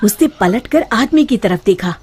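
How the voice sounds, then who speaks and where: A woman's voice: medium pitch, animated, normal speed, shouting. A woman narrating a scripted cartoon story as its storyteller. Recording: noticeable background noise.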